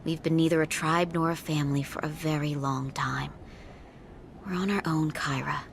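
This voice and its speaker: Low-voiced, solemn mystic mother. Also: normal speed, vocal fry, a little hiss or hum. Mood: resigned. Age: middle-aged. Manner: solemn, deadpan, resigned. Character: grave, fatalistic mother, a seer who hears voices. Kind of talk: video game cutscene dialogue.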